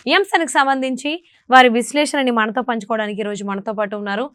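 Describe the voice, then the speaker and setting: A woman's voice: fairly high pitch, fairly even intonation, quick pace, fairly bright tone, clean tone, dry recording, clean studio sound. A woman, putting questions as the interviewer on a news discussion programme.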